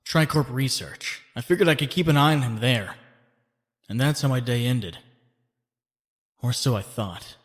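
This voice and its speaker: A man's voice: medium pitch, a little slow, pauses often. A man voicing an earnest young superhero who also narrates, in a fan comic dub. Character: young male web-swinging hero, married. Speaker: narrator and lead voice actor.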